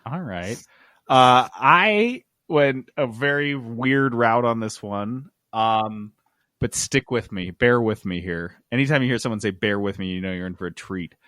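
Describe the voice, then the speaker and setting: A man's voice: mid-range voice, a little slow, a bit bright, dry recording, clean studio sound. A man hosting a casual podcast chat, talking informally and jokily with plenty of slang. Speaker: podcast host. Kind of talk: casual chat about games and candy.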